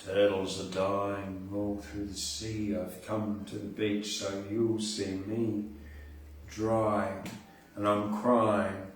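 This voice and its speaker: A man's voice: fairly low pitch, little pitch movement, slow pace, slight background noise, pitched below the performer's own voice. Echoey sorrowful sea turtle, recited by a man. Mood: grieving. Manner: plaintive, rhyming appeal. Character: sorrowful, pleading sea turtle warning humankind. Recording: very echoey room, distant from mic.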